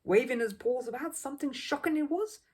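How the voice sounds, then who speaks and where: A woman's voice: sits high, fairly quick, a little mellow, slightly rough, voice pushed up. A woman voicing an opinionated working-class man in a street crowd for a storybook, with emphatic, colloquial, ungrammatical speech. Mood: knowing, emphatic.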